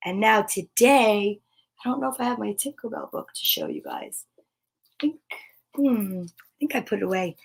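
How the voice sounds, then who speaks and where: A woman hosting a children's story time, reading picture books aloud with expressive exclamations and chatty, self-interrupting asides to viewers. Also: medium pitch, moderate pace, gravelly, long pauses, breathy edge. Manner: expressive, gushing exclamations and gasps.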